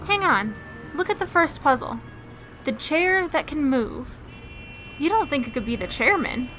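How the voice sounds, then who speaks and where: Woman as a high, bright young heroine. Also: sing-song, a little slow, faint music behind, heavily acted, low-quality recording. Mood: focused. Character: capable, confident young female masked crime-fighter. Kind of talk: radio drama acting and scripted narration.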